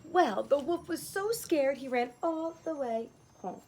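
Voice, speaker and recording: A woman's voice: sits high, lively intonation, a little slow, warm tone. A woman teacher reading a children's story aloud, narrating expressively with plain explanatory asides.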